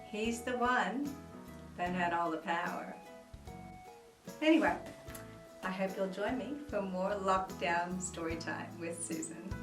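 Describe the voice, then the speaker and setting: A woman reading a children's book aloud at home, dramatic and expressive with soft whispers. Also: on the low side, measured pace, long pauses. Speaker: storyteller. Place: room at home. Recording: roomy echo, mic at a distance, faint music.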